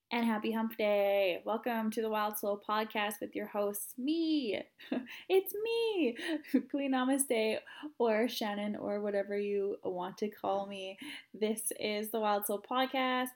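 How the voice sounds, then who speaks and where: Bubbly, giggly woman podcast host. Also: a little high. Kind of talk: casual podcast interview.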